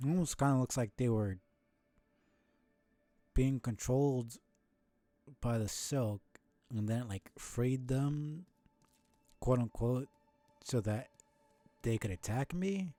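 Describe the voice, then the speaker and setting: A man's voice: medium pitch, slow, long pauses, warm tone, soft background music. A man streaming a video game with casual live commentary, musing aloud to himself and swearing now and then.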